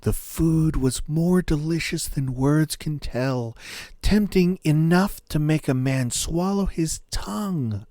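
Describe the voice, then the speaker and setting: A man narrating a scripted fairy tale as a storyteller, in a measured, dramatic manner. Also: on the high side, warm tone, no room sound, clean studio sound.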